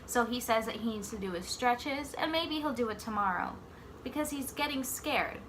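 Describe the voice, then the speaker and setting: A woman reading a picture book aloud like a teacher, expressively, pausing to put simple questions to young children. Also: on the high side, expressive, a bit bright, slight background noise. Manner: pauses to ask simple questions and echo the answers.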